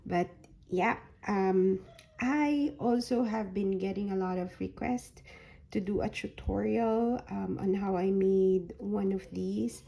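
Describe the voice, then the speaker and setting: A woman with a hoarse voice that is recovering from a sore throat, showing a handmade journal folio in a soft, unhurried way with frequent ums. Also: mid-range voice, steady tone, low-quality recording. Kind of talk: slow, casual craft flip-through.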